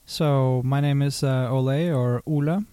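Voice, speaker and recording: Norwegian man with a dark, dry voice. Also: mid-range voice, a little slow, clean tone, dry recording. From Norway. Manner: relaxed, hesitant, many fillers. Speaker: podcast guest. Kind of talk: casual interview talk.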